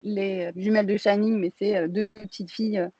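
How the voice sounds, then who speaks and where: A woman's voice: medium pitch, steady tone, moderate pace, mellow, breathy edge, dry recording. A woman from France speaking as an interviewed film director, reflective and informal, thinking aloud and rephrasing as she goes, on slightly lo-fi audio.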